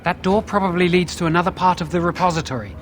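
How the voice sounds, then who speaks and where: A man's voice: high voice, fast talker, a little hiss or hum, lightly acted. An actor playing an earnest, anxious young male mage in a fantasy video game, speaking with urgency. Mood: urgent, determined.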